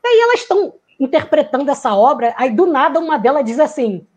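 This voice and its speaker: A woman's voice: sits high, expressive, fairly quick, darkish tone. A woman who works in theater giving a reflective talk, musing aloud, searching for words and acting out quoted lines.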